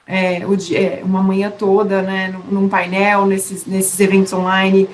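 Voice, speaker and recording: A woman wraps up an online seminar, thanking speakers in a chatty, filler-heavy way. Her pitch stays flat and even, and faint noise sits behind her voice. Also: unhurried, poor audio. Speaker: event organizer closing the session. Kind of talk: closing remarks. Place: online webinar.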